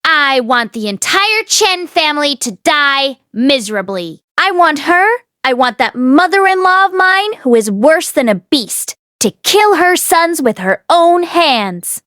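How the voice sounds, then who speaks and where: A woman acts out a young ghost woman in an audiobook tale, wronged and vengeful. Her high voice swings emphatically with bitter anguish, in a dry, close recording. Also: sing-song, unhurried, hardly any pauses, a bit bright, no room sound, exaggerated voice.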